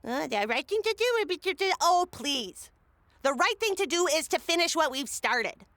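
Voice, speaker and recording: A woman's voice: sits high, very animated, normal speed, a bit bright, no room sound, full character voice. A woman playing a scheming, impatient city mayor in a comedic audio drama, brash and bossy.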